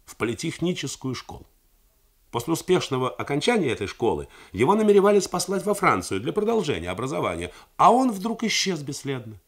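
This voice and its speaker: A man's voice: mid-range voice, voice pushed up. A man voicing an earnest, eager young army major who pleads his case.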